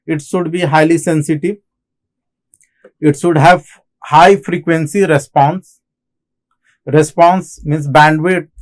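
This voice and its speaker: A man with an Indian accent giving a technical lecture as an instructor, explaining in halting phrases and often repeating himself. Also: fairly high pitch. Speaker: engineering lecturer. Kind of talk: classroom lecture on technical material.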